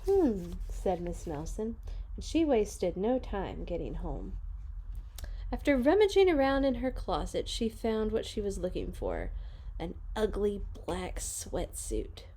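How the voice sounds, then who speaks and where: A woman reading a children's story aloud with dramatic, deliberate phrasing and chatty, playful asides. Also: mid-range voice, wildly swooping pitch, warm tone, slightly rough, poor audio. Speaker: read-along storyteller for children. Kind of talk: expressive picture-book read-aloud with chatty asides.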